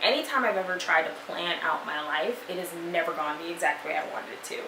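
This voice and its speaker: Young woman in her twenties, lowish voice, encouraging vlogger tone; roomy recording with steady background noise. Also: fast talker. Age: 20s. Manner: chatty, encouraging, many fillers. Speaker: vlogger and life coach. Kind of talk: motivational talk to camera. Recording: echoey room with noise.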